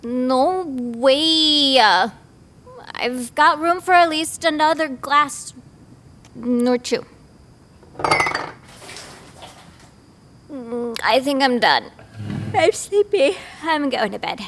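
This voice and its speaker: Playful, needling young sidekick girl, high pitched, slow delivery, audio drama. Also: animated, fairly bright tone, slight background noise, heavily acted. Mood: tipsy, sleepy.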